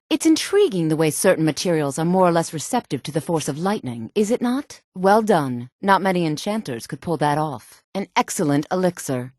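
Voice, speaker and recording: A sultry, self-assured fantasy townswoman for a video game. Her voice sits slightly low and moves freely through its range, with a poised, teasing manner. The sound is close and dry. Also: a little mellow, dry recording. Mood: poised, appraising. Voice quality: breathy-edged sultry tone. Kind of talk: video game character voice lines.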